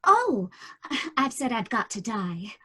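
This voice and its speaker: An actress playing an eerie, calm young woman in her twenties in a radio drama, speaking in a cool, knowing, quietly insistent way. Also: on the high side, takes it slowly, slightly rough, full character voice. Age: 20s.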